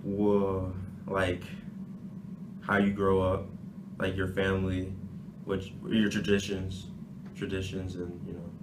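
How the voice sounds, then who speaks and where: A man's voice: deep voice, completely flat delivery, slow, pauses often, noisy background. An eighteen-year-old man from Chicago answering interview questions in a flustered, laid-back way. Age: young adult. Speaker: student interviewee. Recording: echoey room.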